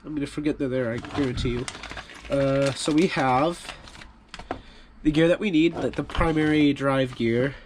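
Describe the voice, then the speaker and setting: A man's voice: medium pitch, moderate pace, slight background noise. Stuffy-voiced man, casual repair talk. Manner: relaxed, rambling, self-deprecating. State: stuffy from a cold. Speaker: hobby channel host.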